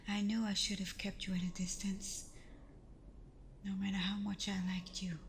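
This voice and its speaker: A woman playing a protective, anguished vampire girlfriend in an ASMR roleplay, speaking in a soft, breathy half-whisper with earnest pauses. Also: medium pitch, flat delivery, a little hiss or hum, natural voice. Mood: tender, regretful. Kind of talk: ASMR roleplay, close intimate acting.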